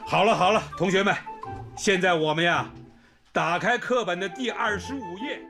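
A man's voice: high voice, moderate pace. A male actor playing a kindly, reassuring village primary school principal in a radio drama. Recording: music behind the voice.

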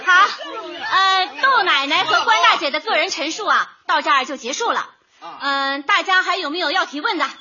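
An actress playing an encouraging, effusive neighborhood committee director rallying residents at a meeting in a light comedy radio drama. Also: female voice, very high-pitched, normal speed. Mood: brisk, chairing. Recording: dull sound, faint noise, crowd behind.

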